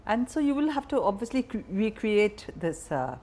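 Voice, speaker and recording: A woman's voice: mid-range voice, big pitch swings, moderate pace, darkish tone. A woman interviewing conversationally, asking direct questions in an informal way. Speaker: interviewer, journalist.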